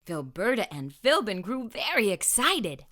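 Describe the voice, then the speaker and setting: A woman reading a children's picture book aloud as an expressive narrator. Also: mid-range voice, measured pace. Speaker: children's story reader.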